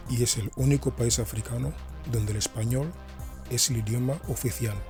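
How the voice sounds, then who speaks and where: Male documentary narrator, medium pitch, soft mellow tone, scripted informative read over music. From Equatorial Guinea. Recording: music under the voice. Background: background music.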